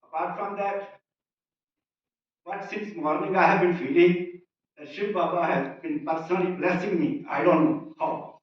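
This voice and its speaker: A man's voice: sits high, measured pace, pauses often. A man from Singapore giving an earnest, informal testimonial to a group at a retreat, speaking in short, plain sentences. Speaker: retreat participant sharing a testimonial. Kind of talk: informal public sharing. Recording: echoey hall, distant mic, dull sound.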